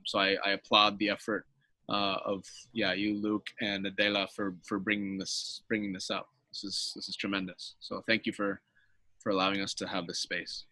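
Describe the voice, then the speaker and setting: A man with a lowish, bright voice chats as a guest over a video call, friendly and quick to laugh, restarting his sentences. The sound is dry and close. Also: no echo, low-quality recording. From born in Colombia, raised in Washington. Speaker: guest, Spanish teacher. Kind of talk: casual interview talk.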